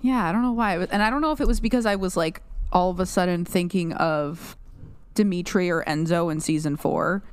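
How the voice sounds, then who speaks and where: A woman co-hosting a podcast, chatting casually and piling up fillers and restarts as she thinks aloud. Her voice sits on the low side. The recording is dry, with no room sound. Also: a little fast, clean tone, dry recording. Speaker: podcast co-host. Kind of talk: casual chat.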